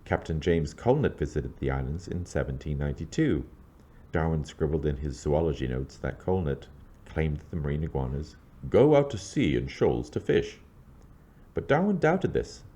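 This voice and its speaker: Very deep, husky male scholarly narrator. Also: big pitch swings, dark tone. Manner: measured, formal, scholarly. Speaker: lecturer reading own introduction. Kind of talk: scripted historical narration.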